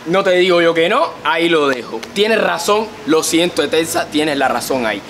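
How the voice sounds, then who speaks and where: High-voiced young Cuban man, teasing. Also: expressive, normal speed, fairly bright tone. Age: young adult. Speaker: prank show co-host. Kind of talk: casual comic chat to camera. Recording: faint ambient noise.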